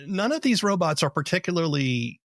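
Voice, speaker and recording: A man hosting a podcast interview in a measured, explanatory, conversational way. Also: a little high, sing-song, fairly bright tone, dry recording, studio quality.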